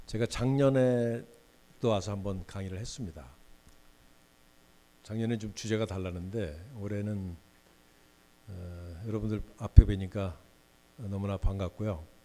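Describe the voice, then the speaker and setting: A man's voice: lowish voice, steady tone, unhurried, pauses often, dark tone, slight background noise. A man in his sixties giving a guest lecture in a university hall, chatty and often putting questions to the audience. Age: 60s.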